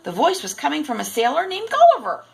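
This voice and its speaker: A woman reading a children's storybook aloud in expressive, deliberate narration. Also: high-pitched, creaky. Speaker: storybook reader.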